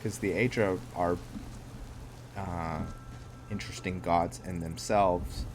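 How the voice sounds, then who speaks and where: A man's voice: low-pitched, fairly even intonation, takes it slowly, slight background noise. A man giving casual, hesitant gameplay commentary, thinking aloud as he goes. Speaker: gaming video host. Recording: background music under close commentary.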